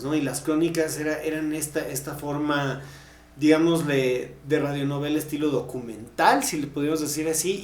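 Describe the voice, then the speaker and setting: A man's voice: on the high side, a little mellow. A Mexican man co-hosting a podcast, chatting casually and explaining at length with bits of slang. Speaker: podcast co-host. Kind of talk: casual panel discussion. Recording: roomy, echoing recording.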